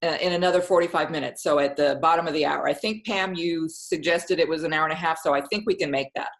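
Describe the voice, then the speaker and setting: Woman host for informal group chat, slightly low voice, conversational moderating. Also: steady tone, fast. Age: 50s. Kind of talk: casual group call moderation.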